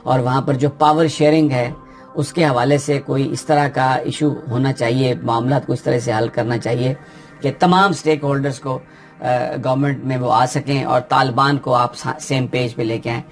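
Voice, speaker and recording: A man with a Pakistani Urdu accent explains political news in an unscripted, steady way, often pausing with "uh" as he thinks. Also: medium pitch, soft background music. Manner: steady explanatory delivery with frequent hesitations.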